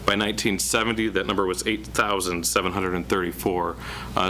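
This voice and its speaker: Man, planning director type; low, bright, monotone delivery full of fillers. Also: a little hiss or hum. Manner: self-described monotone, many uh fillers. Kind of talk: presenting at a public meeting. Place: council chamber.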